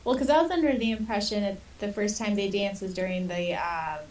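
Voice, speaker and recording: A woman's voice: mid-range voice, a little fast, darkish tone. A woman co-hosting a podcast, talking in a casual, conversational way. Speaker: podcast co-host. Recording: distant mic, music underneath.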